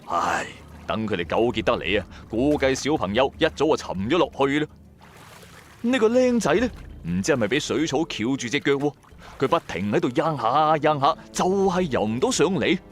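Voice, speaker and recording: A man's voice: medium pitch, very animated, normal speed. A man with a Cantonese accent narrates a first-person ghost story in a colloquial, dramatic, suspenseful way. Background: music.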